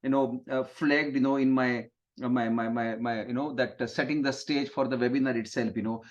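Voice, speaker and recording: A man's voice: medium pitch, fairly even intonation, fairly quick. A man from India whose first language is Gujarati, moderating a webinar over a video call and rambling with many fillers. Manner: rambling, heavy "you know" fillers and restarts. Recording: dull lo-fi call audio.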